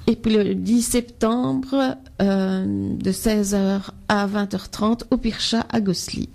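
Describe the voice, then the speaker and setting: A woman's voice: mid-range voice, creaky. A woman co-hosting a radio show, steadily listing concert dates and places. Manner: steady listing, fills pauses with euh. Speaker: radio co-host. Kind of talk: reading out a concert schedule.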